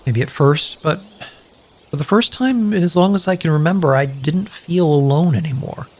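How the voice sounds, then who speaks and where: An actor playing a sincere, joking wasteland survivor in an audio drama, speaking earnestly and hesitantly with wry, profane humour. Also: male voice, fairly high pitch, normal speed, natural voice. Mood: tender. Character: sincere, grateful, joking male wasteland survivor, loyal friend. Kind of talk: audio drama dialogue, naturalistic.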